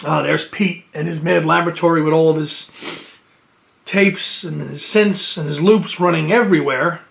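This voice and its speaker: Gravelly-voiced man unboxing records. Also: fairly high pitch, fairly even intonation, normal speed, fairly bright tone. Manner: chatty, enthusiastic, thinks aloud while reading liner notes. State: sniffly from allergies. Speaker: music collector and video host. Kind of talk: casual unboxing commentary. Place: indoors at home near his shelves.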